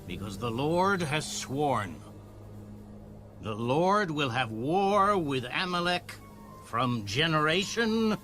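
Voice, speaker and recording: An actor playing a grave prophet and leader in an audio Bible drama, speaking in a measured manner. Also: male voice, fairly high pitch, very animated. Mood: resolute proclamation. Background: music.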